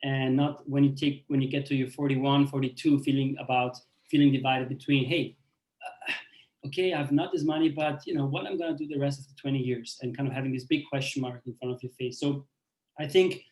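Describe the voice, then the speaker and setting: A man in his forties who grew up in Austria, telling his own story on a panel in a halting way, with many restarts and fillers. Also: mid-range voice, fairly even intonation, darkish tone. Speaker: speaker on a panel. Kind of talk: personal story in a talk. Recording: roomy echo.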